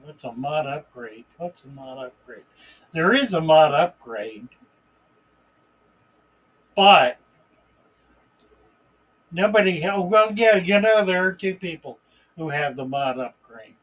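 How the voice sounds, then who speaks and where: Man, livestream host, unhurried drawl, breathy voice, casual chat with viewers. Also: a little high, lo-fi sound. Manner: relaxed, unhurried, chuckles between remarks. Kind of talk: casual live chat, greeting viewers and reading comments.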